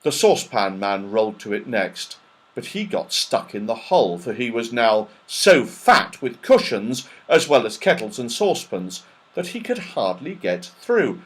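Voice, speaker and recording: A man narrating a children's storybook in an expressive, dramatic, animated way. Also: mid-range voice, a little slow, husky. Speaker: audiobook narrator.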